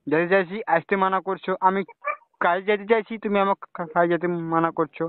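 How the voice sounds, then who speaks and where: A man's voice: sits high, fairly even intonation, fast talker, clean tone, no echo. A young man talking casually on a private phone call, often checking with short questioning tags. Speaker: caller talking to a romantic partner. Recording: dull, lo-fi phone call recording.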